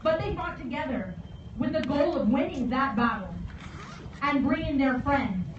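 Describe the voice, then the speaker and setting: High-pitched American woman giving a solemn speech. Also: lively intonation, distant mic. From San Antonio, Texas, United States. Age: 40s. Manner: solemn, measured phrasing. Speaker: guest speaker, veterans service officer. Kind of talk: formal memorial speech. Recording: echoey outdoor PA from afar, dull, faint noise.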